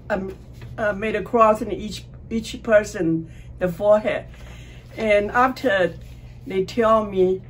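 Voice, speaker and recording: Halting middle-aged woman, faint hiss. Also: medium pitch, rough recording. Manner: plain, halting non-native phrasing. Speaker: church member. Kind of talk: personal testimony. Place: small home room, indoors.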